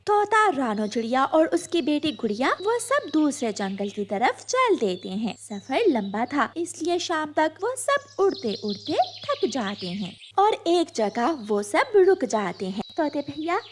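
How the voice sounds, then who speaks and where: A woman narrating a kids' cartoon story with a high, bright voice. Her delivery is steady and clear, and her pitch moves around expressively as she tells the tale. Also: measured pace, few pauses, slight background noise.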